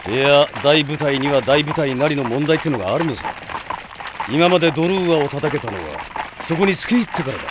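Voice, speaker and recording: An actor playing a seasoned, cynical mercenary who talks roughly and casually in a radio drama. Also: male voice, a little high, brisk, heavy background noise, lightly acted. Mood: wry, wary. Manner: rough, laid-back, cynical. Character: gruff, wry seasoned mercenary soldier.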